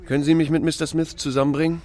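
An actor playing a laconic, wry small-town sheriff who narrates a radio drama in a gravelly, dry, unhurried voice. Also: male voice, on the high side, flat delivery, a little mellow, natural voice. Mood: calm, wry. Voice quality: gravelly, creaky. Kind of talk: scripted first-person drama narration.

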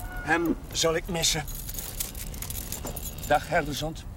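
Young chaplain, warm and wry, slightly high voice, naturalistic TV drama, noisy farmyard exterior. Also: male voice, little pitch movement, slow. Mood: wistful farewell. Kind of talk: naturalistic television drama acting. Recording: distant mic, noisy outdoor sound.

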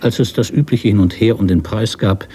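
Low, mellow male literary narrator. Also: brisk, a little hiss or hum. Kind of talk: literary prose narration for a radio drama.

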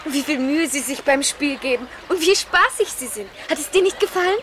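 A woman's voice: high-pitched, lively intonation, a little fast, a faint crowd, slight background noise. An actress playing an eager, playful young woman in love in a radio drama, with a lilting, girlish delivery.

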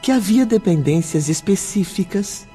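An actor playing a solemn storyteller who addresses listeners formally and dramatically in a radio drama. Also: male voice, high-pitched, expressive, normal speed, warm tone. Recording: music under the voice.

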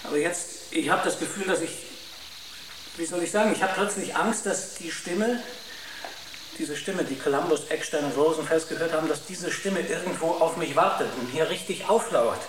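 A man's voice: fairly high pitch, normal speed, natural voice. An actor playing a frightened spaceship crewman in a German radio drama, speaking hesitantly and searching for words. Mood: anxious, hesitant. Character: nervous, frightened male spaceship crewman confiding fears. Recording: roomy, distant, noisy radio drama sound.

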